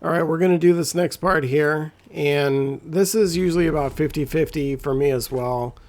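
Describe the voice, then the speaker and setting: A man with a scratchy, gravelly voice giving laid-back, rambling commentary as a gameplay guide. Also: fairly high pitch, moderate pace, darkish tone. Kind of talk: casual walkthrough commentary.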